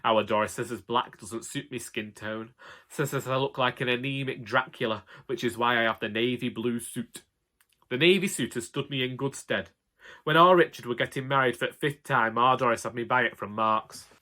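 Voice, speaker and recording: A man's voice: medium pitch, normal speed, sharp tone. A man with a Northern English accent narrating a comic monologue as a put-upon, exasperated husband in colloquial language. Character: put-upon, indignant older husband, chatty storyteller. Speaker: audiobook narrator. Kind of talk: comic first-person monologue in character.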